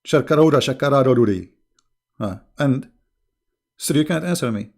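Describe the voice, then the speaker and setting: A man whose first language is Arabic talks straight to his viewers in a loose, mocking monologue. His voice is gravelly and sits at a middle pitch. He jumps between scorn and amusement as he speaks. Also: lively intonation, moderate pace. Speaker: online religious debater.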